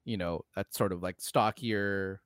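A man's voice: sits low, steady tone, a little slow, a bit bright, clean tone, no echo, clean studio sound. A man co-hosting a casual film discussion, speaking bluntly and informally with some swearing. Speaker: podcast co-host.

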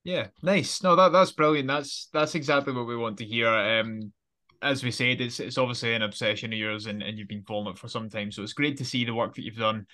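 A man's voice: mid-range voice, fairly even intonation, a little fast, sharp tone. A man co-hosting a football podcast, chatting in a friendly way and often repeating words as he restarts. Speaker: podcast co-host. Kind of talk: casual podcast chat.

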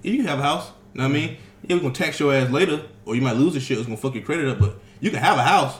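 Fast-talking profane young man. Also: mid-range voice. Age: 20s. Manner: profane, animated ranting. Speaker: podcast co-host. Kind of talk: casual chat. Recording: echoey room.

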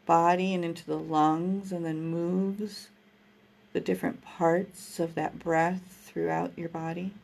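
A woman guiding a breathing meditation, speaking in a slow, soothing, gentle way. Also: fairly low pitch, flat delivery, vocal fry. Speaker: meditation guide.

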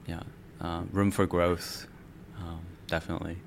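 A man's voice: sits low, level tone, measured pace, vocal fry, slight background noise. A man hosting an interview, asking casual questions and affirming often. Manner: affirming, many yeahs and fillers. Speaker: podcast host.

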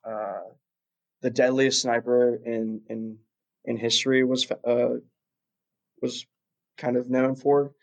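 A man's voice: mid-range voice, level tone, takes it slowly, long pauses, poor audio. A young man from Russia, a guest chatting casually in an interview, rambling with lots of fillers and restarts.

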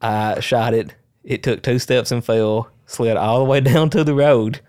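Flat-voiced laid-back co-host, a man. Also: medium pitch, a little slow, clean tone, dry recording, clean studio sound. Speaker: podcast co-host. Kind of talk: casual podcast chat, storytelling.

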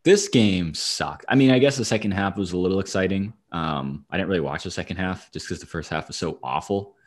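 A man with a fairly low voice hosts a casual sports talk show. He chats in a wry, dry way and swears freely as he gives his commentary. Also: fairly quick. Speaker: sports podcast host.

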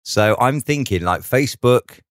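A man's voice: mid-range voice, lively intonation, moderate pace, no echo, studio quality. A man co-hosting a podcast in casual chat, plainly explaining things step by step. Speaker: podcast co-host.

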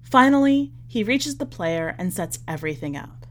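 A woman narrating literary fiction from a script, with measured, deliberate phrasing that falls away at the ends of lines. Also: mid-range voice, expressive, slight background noise. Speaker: podcast editor reading a short story aloud.

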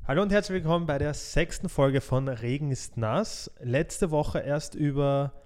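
A man's voice: a little high, normal speed, a little mellow. A young man, hosting a podcast in a casual, conversational style. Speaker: podcast host. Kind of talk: casual chat.

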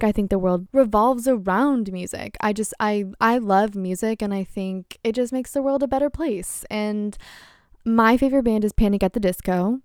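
Young woman in her twenties, podcast host, excitable and candid, smooth clear voice, dry close sound. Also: medium pitch, no room sound. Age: 20s. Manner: excitable, candid, swears casually, frequent laughs and fillers. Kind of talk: casual solo chat.

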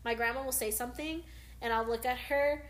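A woman's voice: a little high, a little fast. A woman chatting casually and informally, dotting her sentences with "like" as a podcast co-host. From United States. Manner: informal, uses many likes. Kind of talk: casual chat.

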